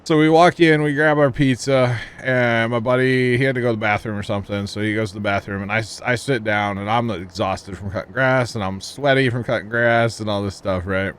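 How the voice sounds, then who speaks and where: A man's voice: medium pitch, steady tone, fairly quick, hardly any pauses, a bit bright, a little hiss or hum. A man chatting casually with his stream viewers while he plays, telling rambling jokey stories and chuckling often. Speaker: livestream gamer. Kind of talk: casual livestream chat with viewers.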